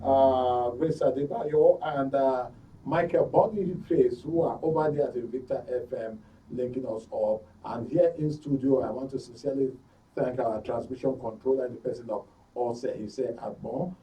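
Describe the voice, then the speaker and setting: A man's voice: medium pitch, moderate pace, dark tone, distant mic, poor audio. A man with a Nigerian accent hosting a current affairs talk show, speaking emphatically and repeating phrases for stress. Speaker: radio and TV talk show host. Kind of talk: current affairs discussion and programme sign-off. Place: radio and TV studio.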